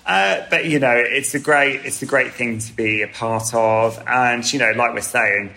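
A man from England, a guest telling animated stories about teaching abroad. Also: medium pitch, normal speed, vocal fry. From Southern England. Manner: animated storytelling with fillers. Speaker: guest, hair colour educator. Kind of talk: conversational interview.

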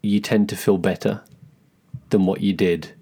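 A man's voice: on the low side, steady tone, a little slow, mellow, slightly rough. A man co-hosting a podcast in casual chat, easygoing and teasing, laughing readily. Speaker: podcast co-host.

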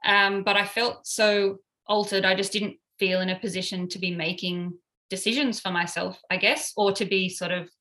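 A woman's voice: medium pitch, fairly even intonation, moderate pace, bright, forceful tone. A woman in her thirties, a paramedic, recounting her story in an interview with frequent hesitations and fillers.

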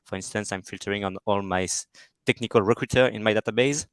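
A man's voice: fairly low pitch, fast talker, no room sound. French-accented man giving a product demo. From France. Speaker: startup co-founder.

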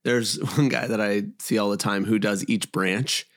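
A man's voice: on the low side, steady tone, moderate pace, fairly bright tone. A man co-hosting a casual podcast chat, teasing and enthusiastic. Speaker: podcast co-host.

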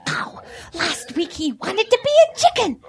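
A woman's voice: very high-pitched, big pitch swings, unhurried, full character voice. A woman voicing a sensible, pious female farm animal who soothes and moralizes earnestly in an audio drama. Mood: earnest, reasoning.

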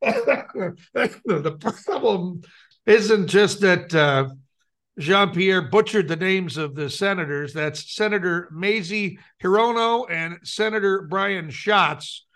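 A man's voice: high-pitched, takes it slowly, a bit bright. A man co-hosting a radio show, bantering casually and reading out offbeat news.

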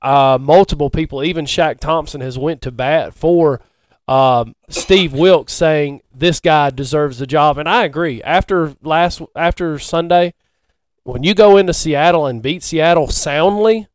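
A man co-hosting a casual sports chat, speaking haltingly and often repeating himself. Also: fairly high pitch, no room sound. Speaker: podcast co-host.

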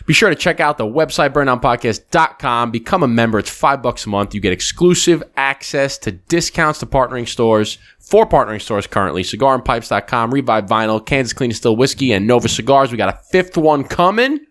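A man's voice: mid-range voice, lively intonation, brisk, hardly any pauses, fairly bright tone. A man hosting a podcast, reading the channel plugs and chatting casually with his co-host. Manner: laid-back, swears casually. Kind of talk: casual chat. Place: studio table with a co-host.

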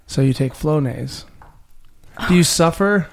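A podcast host talking in a loose, rambling way, prone to giggles. The voice sits at an ordinary middle pitch, and the host sounds mildly high. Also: a little slow, vocal fry, darkish tone, slight background noise. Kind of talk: casual chat.

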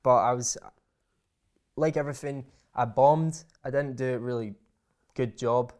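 A man's voice: mid-range voice, steady tone, a little slow. A man chatting as an interview guest. He hesitates often and repeats his words as he restarts his sentences. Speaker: guest, aspiring motivational speaker. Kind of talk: casual interview chat.

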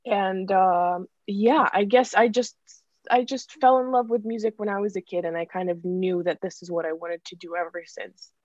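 A woman's voice: mid-range voice, normal speed, no room sound. Young Ukrainian-born woman, dull dry sound. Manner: chatty, many likes and restarts, laughs often. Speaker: songwriter guest. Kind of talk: casual interview answers.

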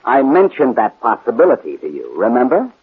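A fast-talking, husky male amateur sleuth from a 1940s radio crime drama. He is glib, cocky and needling, pressing hard with his questions. His delivery swings widely in pitch, on a muffled vintage broadcast recording. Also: wildly swooping pitch, heavily acted. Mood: smug, cool. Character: confident, wisecracking amateur detective, quick and needling.

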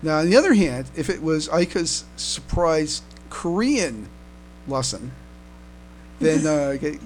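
Halting man podcasting over background noise. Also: fairly high pitch, lively intonation, low-quality recording. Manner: halting, repeats words. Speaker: podcast co-host. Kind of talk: casual fan podcast chat.

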